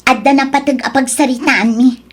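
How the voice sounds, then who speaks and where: A woman's voice: high-pitched, fairly even intonation, fairly quick. A woman with a Filipino accent playing a brazen, demanding young mistress in an audio drama, insistent and confrontational. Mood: defiant, demanding. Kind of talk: Ilocano audio drama acting.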